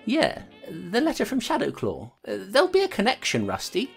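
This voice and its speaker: A woman playing a loyal, dogged female constable who narrates her case in an earnest, brisk way. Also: medium pitch, wildly swooping pitch, creaky, soft background music. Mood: coaxing.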